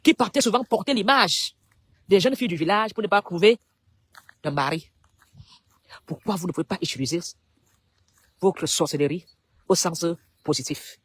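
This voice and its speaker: Husky voice, preachy political rant to the people, mid pitch. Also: moderate pace, long pauses, a bit bright, no room sound. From Cameroon. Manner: preachy, rhetorical, appealing to the public. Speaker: political commentator. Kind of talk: impassioned political monologue.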